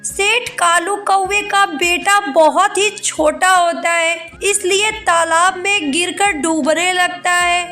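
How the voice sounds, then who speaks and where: A woman's voice: very high-pitched, steady tone, slow pace, few pauses, pushed and bright, faint music behind. A woman narrating a scripted children's cartoon story.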